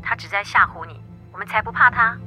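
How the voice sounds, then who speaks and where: An actress playing a caring young niece in a radio play, soothing and reassuring. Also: female voice, medium pitch, quick pace, pushed and bright, faint music behind, poor audio.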